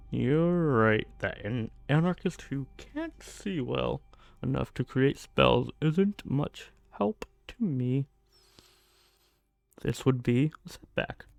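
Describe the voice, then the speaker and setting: A man playing a menacing evil sorcerer in a fantasy ASMR roleplay, falsely gentle and condescending, with soft-spoken threats. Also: mid-range voice, creaky. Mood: smug, probing. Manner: slow, silky, condescending, softly threatening.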